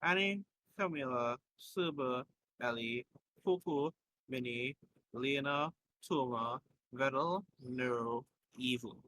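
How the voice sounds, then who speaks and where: A man's voice: mid-range voice, slow pace, bright, forceful tone, slight background noise, rough recording. A man reading a story aloud in an informal way, as a host who slips in his own asides and corrections.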